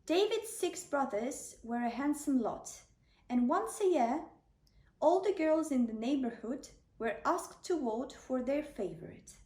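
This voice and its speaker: Female bedtime storyteller, high and mellow, gentle deliberate delivery, roomy sound. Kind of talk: children's bedtime story narration. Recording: roomy, distant microphone.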